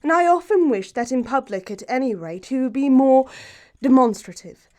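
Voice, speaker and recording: A woman's voice: sits high, lively intonation, normal speed, darkish tone. A woman playing a self-assured, romantic young upper-class English lady, poised and precise, in an audiobook stage comedy. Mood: ardent. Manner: poised, precise, grandly emphatic.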